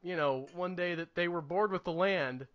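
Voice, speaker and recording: A man's voice: sits high, normal speed, highs cut off. A man co-hosting a podcast in casual chat, with a wry, opinionated and mildly sweary manner. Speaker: podcast co-host reviewing movies.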